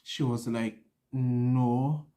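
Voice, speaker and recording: A man's voice: mid-range voice, fairly even intonation, extremely slow, mellow. A young man chatting casually to an audience, telling personal stories and acting out what was said. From an island. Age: young adult. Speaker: vlogger. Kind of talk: casual personal storytelling to camera.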